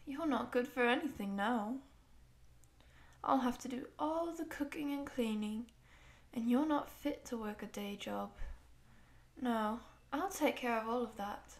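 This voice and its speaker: An obsessive, sadistic giant woman, played by a female voice actor in an intimate ASMR audio drama. Her voice sits fairly high and moves very slowly. She coos and teases possessively, often sinking to a whisper as if talking to a tiny captive. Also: gravelly, a little mellow. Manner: slow, teasing, cooing and possessive, often dropping to whispers.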